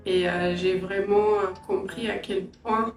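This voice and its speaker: A middle-aged woman from Angola and England giving a personal interview, reflective and hesitant, often pausing mid-sentence. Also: medium pitch, steady tone. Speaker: interviewee, entrepreneur and language teacher. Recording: roomy echo, distant mic, faint music.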